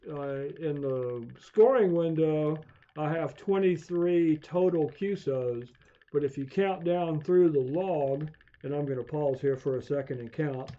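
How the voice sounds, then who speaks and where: A man giving an unscripted screencast tutorial, haltingly thinking aloud as he demonstrates software. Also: a little high. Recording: dull, lo-fi voice recording.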